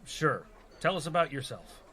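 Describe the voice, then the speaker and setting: An actor playing a dry, impatient recruiter of adventurers in a comedy radio play. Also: male voice, on the high side, sing-song, moderate pace, sharp tone. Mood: dryly impatient.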